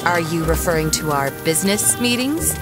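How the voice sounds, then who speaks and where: An actress playing a composed, businesslike expedition backer in an audio drama, formal and dryly teasing. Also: female voice, sits low, expressive, moderate pace. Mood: dry, firm. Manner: formal, dry, firm. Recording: loud music behind the voice.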